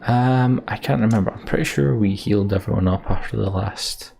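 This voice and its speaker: A man with a low, gravelly voice playing through a story game. He comments casually and narrates as he goes, with faint background noise on the recording. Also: a little fast, a little mellow. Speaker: let's play streamer.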